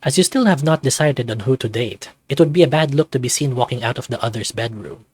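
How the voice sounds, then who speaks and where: A man's voice: mid-range voice, fast talker, breathy edge, no room sound. Man narrating a story game, dry close sound. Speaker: visual novel player and narrator. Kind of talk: read-aloud story narration with asides.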